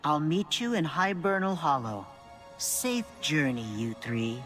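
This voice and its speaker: Low-voiced forest guardian. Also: female voice, very animated, music in the background. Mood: solemn. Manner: measured, serious. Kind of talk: fantasy game voice acting.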